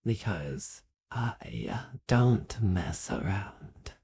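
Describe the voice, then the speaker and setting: A performer voicing a slow, threatening figure in a game. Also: mid-range voice, animated, vocal fry, a little mellow, slightly rough, full character voice. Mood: menacing.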